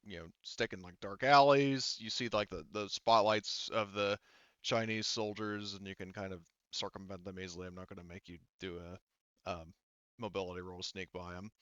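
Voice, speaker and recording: A man running a tabletop game, narrating off the cuff in a casual, halting, rambling way. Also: lowish voice, fairly bright tone, clean tone, no room sound, highs cut off. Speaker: game master.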